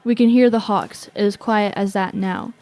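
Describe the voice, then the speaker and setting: A woman's voice: medium pitch, steady tone, moderate pace, a little mellow, faint music behind, close to an everyday voice. A young woman playing a watchful radio reporter, giving tense eyewitness commentary in short statements for an audio drama. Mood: tense, observant. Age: young adult.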